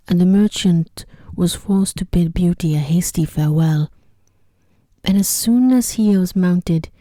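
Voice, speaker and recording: A woman reading a sleep story in ASMR style, with a soft, whispery voice and a gentle, hushed delivery. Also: lowish voice, no room sound.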